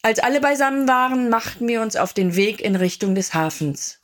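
A woman narrating a radio drama in a solemn, measured way. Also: on the high side, lively intonation.

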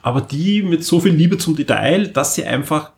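A man's voice: on the high side, expressive, moderate pace, creaky, darkish tone. A man with an Austrian accent hosting a casual podcast, rambling along with enthusiasm. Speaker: podcast host.

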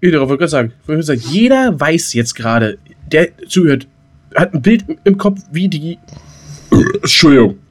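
Guy with a slightly high voice, jokey podcast cohost, chatty and slangy, restarts his phrases a lot. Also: sing-song, normal speed. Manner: colloquial, jokey, repeats phrase openings. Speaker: podcast co-host. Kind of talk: casual unscripted podcast banter.

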